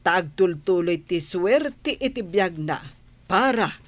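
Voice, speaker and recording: A woman's voice: lowish voice, expressive, slow, very noisy. A woman with an Ilocano accent playing a kindly, older village auntie in a radio drama. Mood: kindly, interested.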